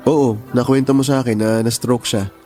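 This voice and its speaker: A man's voice: medium pitch, fairly even intonation, brisk, dark tone, faint music behind, close to an everyday voice. A man playing a devoted, sweet-talking young suitor in a Filipino radio love drama, earnest and reassuring. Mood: concerned, caring.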